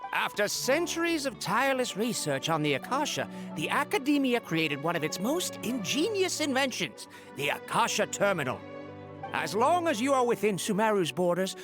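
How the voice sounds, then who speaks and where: A woman's voice: medium pitch, close to an everyday voice. A woman playing a courteous, official city gatekeeper in a video game, speaking in a formal, polite, explanatory way.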